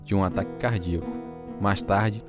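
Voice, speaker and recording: A Brazilian actor playing a pastor reflects earnestly on his own life in a radio drama. His voice sits a little low and moves in measured phrases, with loud guitar music behind it. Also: steady tone, close to an everyday voice. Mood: reflective. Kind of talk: radio drama narration and dialogue.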